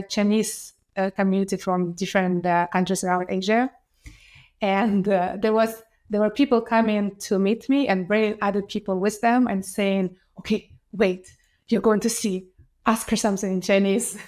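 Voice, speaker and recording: A woman's voice: medium pitch, steady tone, moderate pace, darkish tone. A woman in her thirties from Ukraine with a Ukrainian accent, an enthusiastic interview guest who talks casually with fillers and restarts. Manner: enthusiastic, many fillers and restarts, laughs often.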